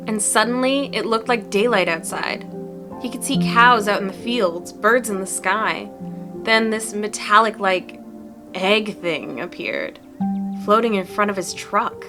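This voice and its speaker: Visual novel role: actress as upbeat young female monster hunter, folksy and earnest, mid-range voice, clear music underneath. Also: medium pitch, close to an everyday voice. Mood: excited, convinced. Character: chatty, upbeat young female cryptid-hunting video maker. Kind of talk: fan-dubbed visual novel dialogue.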